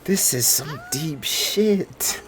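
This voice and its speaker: A man's voice: fairly high pitch, animated, extremely slow. A young man doing casual livestream commentary on a game in an informal, slangy way. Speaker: game streamer.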